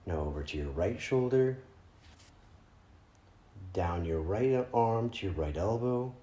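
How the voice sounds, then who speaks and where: Male meditation guide: lowish, mellow voice, gentle and unhurried delivery with pauses, recorded with noticeable room echo. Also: animated, highs cut off. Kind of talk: guided meditation, spoken instruction. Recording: roomy, echoing room sound.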